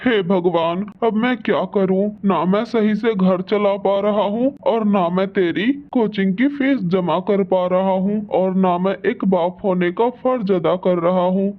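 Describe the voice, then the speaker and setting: A man's voice: high-pitched, a little slow, few pauses, narrow, tinny audio. A man voicing a weary, humble, debt-ridden cartoon father bird who speaks in an earnest, pleading way. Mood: despairing. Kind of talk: Hindi cartoon voice acting.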